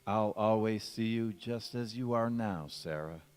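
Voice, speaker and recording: Guy acting a romantic young groom in old radio drama, slow earnest talk, big echoey hall. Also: on the low side, clear, resonant tone, natural voice. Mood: tender. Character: devoted young bridegroom, ardent and reassuring. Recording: echoey church hall stage recording.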